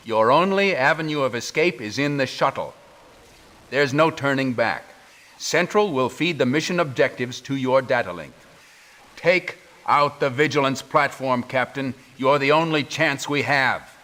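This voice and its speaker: A grave middle-aged commander for a sci-fi game cutscene. He speaks at a medium pitch in formal military phrases. Every order carries urgency. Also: bright, forceful tone. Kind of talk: scripted game cutscene acting.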